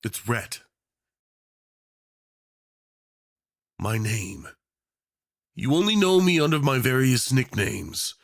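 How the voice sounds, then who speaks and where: Male actor as obsessive tyrant, audio roleplay villain, mock-polite, playful menace, swooping pitch, low fry, flirtatious drawl. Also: very animated, a little slow, dry recording, studio quality. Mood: coaxing, intimate. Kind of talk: romantic villain ASMR roleplay.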